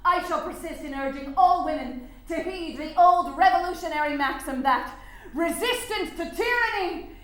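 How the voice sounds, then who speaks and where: A woman's voice: extremely high pitch, a bit bright. A woman playing an indignant, unyielding suffragist on trial, declaiming emphatically in a staged reading. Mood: defiant, impassioned. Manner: declamatory, emphatic, deliberate phrasing. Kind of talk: staged reading, courtroom oratory. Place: live stage in a reverberant room. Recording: very echoey hall stage recording.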